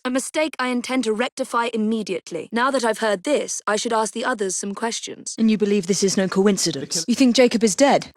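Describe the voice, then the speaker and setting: A woman acting as a young Victorian assassin in a video game, with an English accent and crisp, formal, composed diction. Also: mid-range voice, no room sound, studio quality, lightly acted. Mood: firm, brisk. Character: young female Victorian assassin, brisk, poised and determined. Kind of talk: scripted game character dialogue.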